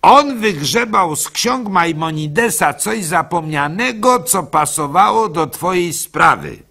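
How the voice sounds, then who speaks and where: Man playing an insistent village rabbi, high-pitched. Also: expressive, measured pace, vocal fry, pushed and bright, full character voice. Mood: insistent, probing. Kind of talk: radio play dialogue.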